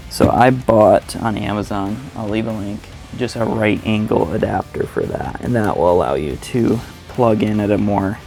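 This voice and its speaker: A man with a fairly low, slightly gravelly voice walks through instructions step by step. His delivery is informal and plain-spoken, like a hobbyist's tutorial, with faint music behind him. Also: normal speed, hardly any pauses, a little mellow. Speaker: motovlogger presenting a how-to tutorial. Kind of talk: casual step-by-step instructional narration.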